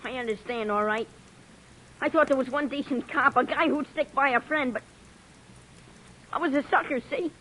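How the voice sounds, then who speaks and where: A woman's voice: high-pitched, moderate pace, pauses often, a bit bright, a little hiss or hum, rough recording. A woman voicing a tough but frightened teenage gang boy who talks in streetwise slang, in 1940s radio acting style. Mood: bitter. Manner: streetwise slang, sneering then pleading.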